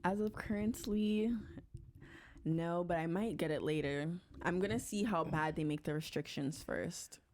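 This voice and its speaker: Woman guest on a podcast, low voice, chill, says like a lot. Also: unhurried. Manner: relaxed, filler-heavy, agreeable. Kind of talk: casual chat.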